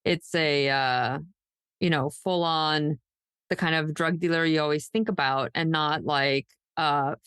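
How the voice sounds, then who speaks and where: A woman's voice: deep voice, steady tone, unhurried, fairly bright tone, smooth, no echo, clean studio sound. A woman hosting a true crime podcast in a casual, loosely unscripted, conversational way. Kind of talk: casual co-hosted podcast chat.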